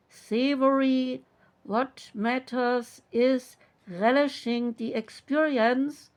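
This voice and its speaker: Slow, soothing woman oracle reader. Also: fairly high pitch. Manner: slow, measured, soothing delivery. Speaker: tarot and oracle card reader. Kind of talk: reading oracle card meanings aloud.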